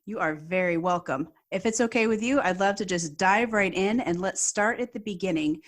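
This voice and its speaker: A woman's voice: mid-range voice, normal speed. A woman hosting a podcast, reading an enthusiastic introduction and asking interview questions. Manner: warm, enthusiastic, read-aloud delivery. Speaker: podcast host.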